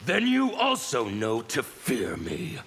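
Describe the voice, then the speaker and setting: Gravelly, fanatical rebel villain. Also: male voice, mid-range voice, wildly swooping pitch, a bit bright, a little hiss or hum, full character voice. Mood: menacing. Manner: slow, declamatory, defiant. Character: bitter fanatical male revolutionary of chaos, scarred and menacing. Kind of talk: video game fight banter.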